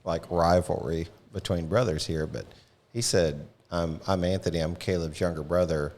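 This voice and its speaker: A man's voice: low-pitched, normal speed, darkish tone. A man hosting a podcast in a casual, jokey, folksy style of interview banter. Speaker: podcast host.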